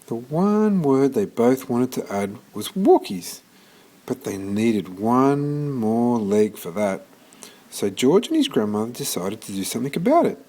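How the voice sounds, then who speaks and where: A man reading a children's story aloud in an expressive storytelling manner, occasionally stumbling and restarting. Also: mid-range voice, unhurried. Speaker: storybook reader.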